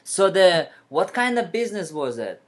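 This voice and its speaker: A man from Kyrgyzstan, a native Kyrgyz speaker, hosting an interview podcast and asking questions in a soft, slightly halting way. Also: high voice, very animated. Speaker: podcast host.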